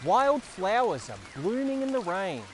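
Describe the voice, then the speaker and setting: An Australian man hosts a children's read-aloud. His voice sits a little high and swings widely in pitch. He comes across as playful and expressive, talking as if to young listeners. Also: a little slow, noisy background. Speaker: children's storyteller and show host. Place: outdoors in a forest.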